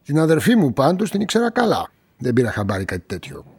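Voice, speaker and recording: A defensive, curt shop owner: very animated intonation, husky tone, radio crime drama. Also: male voice, a little high, exaggerated voice. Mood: guarded, curt. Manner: curt, dismissive, colloquial. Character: busy, brusque, defensive male auto-parts shop owner.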